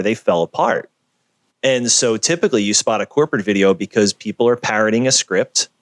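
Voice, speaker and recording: A man being interviewed over a video call, talking in an energetic, emphatic, storytelling way. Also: on the low side, no room sound. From Philadelphia area. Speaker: guest, video producer.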